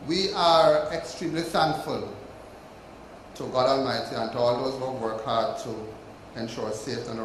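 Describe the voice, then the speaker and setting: A man's voice: medium pitch, a little hiss or hum. Jamaican man giving a formal speech. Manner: measured, emphatic oratory. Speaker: ceremony speaker. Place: hotel function room. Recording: echoey room with faint ambience.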